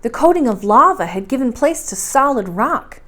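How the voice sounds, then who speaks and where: A woman reading a story aloud in expressive, scripted narration. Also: on the high side, normal speed.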